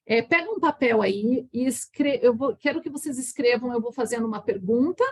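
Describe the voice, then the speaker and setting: A Brazilian woman teaching energy healing in a live online talk, chatty and warm, often checking in with her listeners. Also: on the high side, lively intonation, normal speed, breathy edge. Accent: Brazilian Portuguese. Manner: warm, chatty, frequent restarts and "tá?" check-ins.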